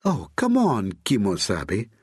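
A man's voice: medium pitch, huge pitch swings, measured pace, a little mellow, breathy edge, no room sound, studio quality, pitched above the performer's own voice, full character voice. A man voicing a teasing, seductive woman doctor with a posh Glaswegian accent and a crooning, playful manner. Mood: playful scolding.

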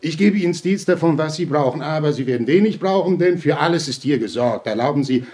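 A man's voice: a little high, lively intonation, a little mellow, slight background noise, close to an everyday voice. An actor playing an unctuous, domineering fatherly head of a halfway house, preachy and pompous, in a German audio drama. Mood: smooth, domineering. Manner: preachy, pompous, drawn-out emphatic phrasing.

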